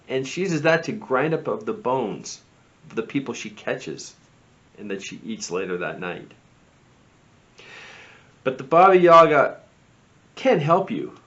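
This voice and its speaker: Theatrical man hosting, slightly slow. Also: a little high, fairly bright tone. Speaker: show host. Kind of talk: presenting spooky lore segments. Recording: slightly dull, lo-fi audio.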